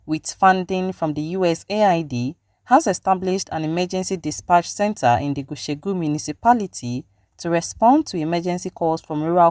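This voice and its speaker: A woman's voice: lowish voice, a little mellow, no echo, studio quality. A woman with a Ghanaian accent reading scripted news narration as a reporter. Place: close dry voice-over recording.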